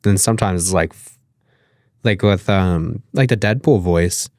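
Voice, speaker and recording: A man's voice: sits low, a little slow, a little mellow, no echo, clean studio sound. A man with a nasal voice, talking loosely as a podcast guest, with lots of fillers and casual swearing. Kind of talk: casual interview conversation.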